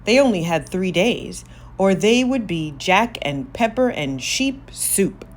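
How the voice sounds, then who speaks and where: A woman narrating a children's story aloud, expressive, with drawn-out emphasis on key words. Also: medium pitch. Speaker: storybook reader.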